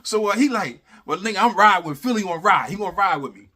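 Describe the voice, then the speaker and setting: A man casually telling a funny personal story in animated, slangy talk, repeating phrases for emphasis and constantly checking in with the listener. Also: high-pitched, quick pace, husky. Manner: animated, slangy, repeats phrases for emphasis, often adds "you know what I'm saying". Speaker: storyteller, host of a story series.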